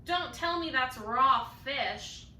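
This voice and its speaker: A high, very bright schoolkid voice put on by a woman, taunting and grossed out in a storybook. The words are drawn out and exaggerated with disgust. It sounds roomy. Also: expressive, takes it slowly, full character voice. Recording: roomy, distant mic, faint noise.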